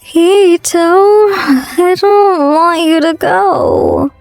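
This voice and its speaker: A woman's voice: extremely high pitch, very slow, vocal fry, darkish tone, dry recording, faint music behind, exaggerated voice. A woman playing a young female boss in a manga dub, a tipsy, pouty ex-girlfriend with a whiny, bossy manner. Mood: sad, pleading. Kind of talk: manga dub character acting.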